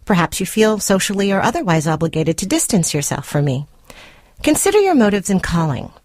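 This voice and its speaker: A woman's voice: mid-range voice, very animated, brisk, darkish tone, no echo, clean studio sound. A woman playing a controlling girlfriend in a radio story, speaking with precise, coldly formal, condescending deliberation. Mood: officious, controlled. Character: controlling, coldly formal jilted girlfriend dictating a rebuttal letter. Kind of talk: dramatic short story reading for radio. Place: dry radio studio.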